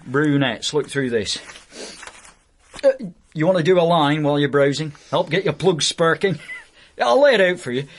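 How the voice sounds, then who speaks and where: A man's voice: a little high, slight background noise. An actor in a radio drama playing a sleazy, chummy escort agency boss, with wheedling, rambling salesman patter. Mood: oily, jovial.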